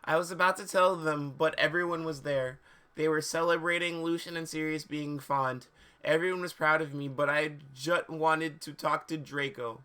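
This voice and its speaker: Man, higher-pitched, sharp bright tone, live dramatic reading, mock-serious, stumbling, amused asides. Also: steady tone, moderate pace, smooth. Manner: stumbles over misspellings, reads with mock seriousness. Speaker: streamer reading fan fiction aloud.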